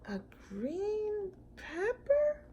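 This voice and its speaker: A woman voicing an earnest, eager little toy bear from a storybook, easily startled, with wide-eyed, drawn-out exclamations. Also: very high-pitched, huge pitch swings, dark tone, dull audio, a little hiss or hum, voice pushed up, full character voice. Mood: puzzled.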